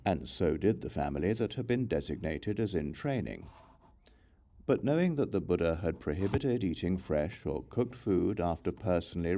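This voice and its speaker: Low, mellow male audiobook narrator. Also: vocal fry. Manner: measured, formal recitation. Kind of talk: scripted narration of scripture.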